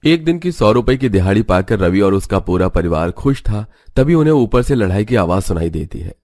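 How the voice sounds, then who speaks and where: A man's voice: on the low side, very animated, fairly quick, darkish tone. A man narrating a scripted cartoon family tale as its storyteller.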